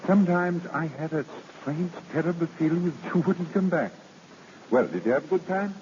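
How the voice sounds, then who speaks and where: An actor playing a doting, eager gentleman in an old radio drama, affectionate and brisk in his talk. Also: fairly high pitch, close to an everyday voice. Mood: fond. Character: doting, eager wealthy gentleman, devoted fiancé. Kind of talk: 1930s-40s radio drama acting. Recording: dull old radio recording, faint noise.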